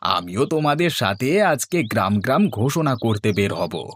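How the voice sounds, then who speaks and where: A man voicing a warm-hearted, eager village man in a cartoon, with an emphatic, exclamatory delivery. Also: mid-range voice, very animated, moderate pace, slight background noise, lightly acted. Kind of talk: Bengali cartoon voice acting. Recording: music playing behind the voice.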